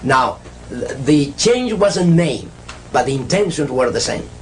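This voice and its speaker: A man's voice: medium pitch, lively intonation, a little slow, a little hiss or hum. A man explains religion in an emphatic, repetitive, didactic way, as if answering an interviewer. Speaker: religious speaker on prophecy. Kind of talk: interview answers, expounding church history and prophecy.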